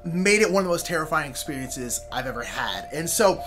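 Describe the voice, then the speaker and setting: A young man with an American accent tells a story in a casual, chatty way. His pitch moves around a lot and his voice is bright and punchy. He talks informally and theatrically, with faint music behind him. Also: a little fast. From Sacramento, California. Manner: animated, informal, dramatizes with exclamations and asides. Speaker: storyteller, show host.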